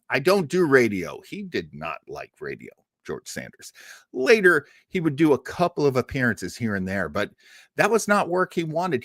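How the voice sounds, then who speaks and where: Male host, mid-pitched and very animated, emphatic storyteller delivery, casual live introduction, dry room sound. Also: no room sound. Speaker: host of a radio listening party. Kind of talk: casual live introduction to an old radio show.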